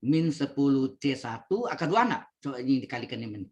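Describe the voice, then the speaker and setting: A man leads an online calculus class. He repeats each step slowly and keeps checking in with his students over the call. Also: mid-range voice, lively intonation, darkish tone. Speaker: lecturer. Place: home, video call. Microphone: computer mic on video call.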